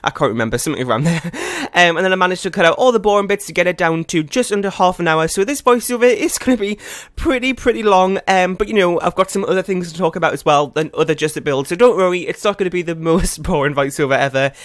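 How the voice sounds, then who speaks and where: Fast-talking young man, croaky morning voice. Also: high voice, a bit bright, no room sound, clean studio sound. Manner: fast, rambling talk with many fillers. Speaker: game building YouTuber. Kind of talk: casual gameplay voiceover. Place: his bedroom at home.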